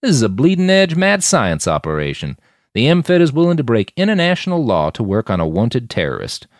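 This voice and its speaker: A man's voice: mid-range voice, wildly swooping pitch, brisk, no room sound, voice pushed up. A man acts out a sly, cynical mercenary boss from an audiobook. He is blunt and scoffing, swinging animatedly through his lines in a close, dry sound. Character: sly, cynical, foul-mouthed male mercenary boss, long-lived. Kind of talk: audiobook character dialogue.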